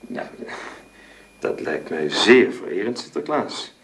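A man's voice: fairly low pitch, sing-song, takes it slowly, creaky, a bit bright, full character voice, poor audio. A man playing a courteous, somewhat formal middle-aged father in a radio drama. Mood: courteous, playing along.

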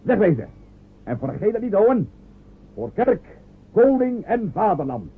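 A man's voice: high voice, big pitch swings, unhurried, slightly rough, exaggerated voice. A man playing a pompous blustering commander. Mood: commanding. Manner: commanding, pompous, barking orders. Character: proud blustering coastal man giving orders. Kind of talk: radio drama acting. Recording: tinny lo-fi old radio recording.

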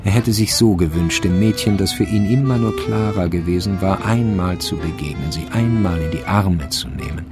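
A man narrating a radio crime drama from a script, with a gravelly voice. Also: sits low, moderate pace, few pauses. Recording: music under the voice.

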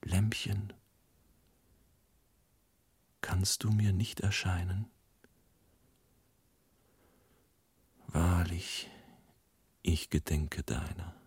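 An actor playing a solemn friend recounting a death in a German radio play, in a breathy, half-whispered voice with grave, halting phrases. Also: male voice, low voice, a little mellow, no room sound, heavily acted. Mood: mournful.

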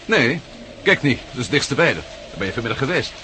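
A male actor plays a headstrong man in a radio play, always pushing to act. His mid-range voice swings widely in pitch and is urgent and insistent. Clear noise sits on the old radio drama recording. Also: big pitch swings, fairly quick, close to an everyday voice. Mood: hushed, alert. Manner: impulsive, insistent. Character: headstrong, urgent man investigating a mysterious stone, just recovered. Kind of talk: Dutch radio play acting.

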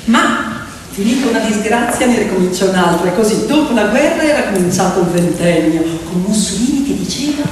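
Female stage narrator, deliberate and dramatic, heard at a distance in a big resonant hall. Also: medium pitch, animated, a little hiss or hum. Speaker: stage storyteller and actress. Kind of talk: theatrical narrative storytelling. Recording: echoey hall from far off, loud music. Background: instrumental music.

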